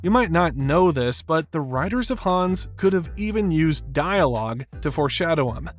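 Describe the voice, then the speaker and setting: Slightly high-voiced man narrating a video essay. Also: expressive, moderate pace, soft background music. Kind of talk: scripted narration.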